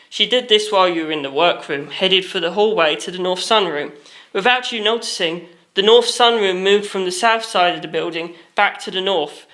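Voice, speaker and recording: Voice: mid-range voice, pushed and bright, lightly acted. A polite young male sleuth from an audiobook, acted out by the narrator, speaks in a mid-range voice. He is confident and formal, setting out his reasoning methodically.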